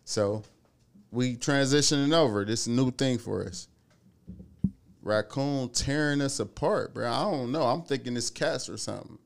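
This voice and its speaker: A man in his thirties from the Cleveland area telling animated, slangy stories in casual chat, swearing freely. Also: mid-range voice, moderate pace, gravelly. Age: 30s.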